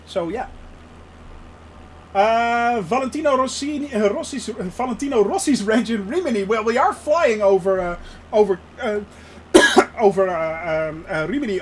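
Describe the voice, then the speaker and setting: A man's voice: high voice, a little hiss or hum. A Dutch man, native Dutch speaker, giving chatty live-stream commentary while flying a sim and answering his chat with frequent laughs. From Netherlands.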